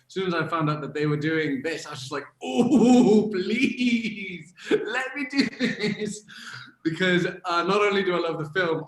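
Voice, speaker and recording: Enthusiastic man from Iceland, roomy audio. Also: a little high. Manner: enthusiastic, laughs, repeats words. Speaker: actor guest. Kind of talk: casual chat on a video call. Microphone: video call.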